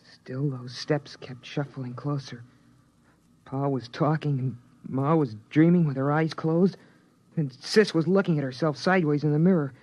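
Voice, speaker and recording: An actor playing a young boy who narrates and talks to his father, with a hushed, brooding delivery, in a 1950s radio drama. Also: fairly high pitch, fairly even intonation, normal speed, natural voice. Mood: fearful. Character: young boy, family's son, fearful and imaginative. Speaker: narrator and son. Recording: dull old radio broadcast.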